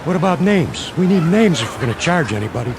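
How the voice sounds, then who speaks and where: A man's voice: on the high side, big pitch swings, fast. An actor playing a sarcastic, unimpressed male city policeman in a radio drama, mocking as he goes. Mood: sardonic. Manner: mocking, wisecracking. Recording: noisy street ambience with traffic.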